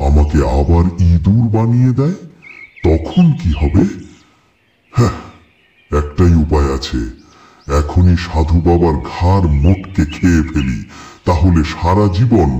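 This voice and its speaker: A man voicing a menacing, boastful, scheming tiger in a children's cartoon, taunting in drawn-out phrases. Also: low-pitched, animated, mellow, muffled recording, faint music behind. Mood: smug, scheming. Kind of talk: children's moral cartoon acting.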